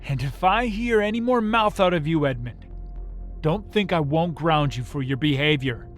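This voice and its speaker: A man's voice: a little high, expressive, normal speed, soft background music, natural voice. A man playing a strict, irritated training master in an amateur comic dub, speaking in a formal, commanding, scolding way. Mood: aggravated, lecturing. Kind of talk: amateur dubbed comic voice acting.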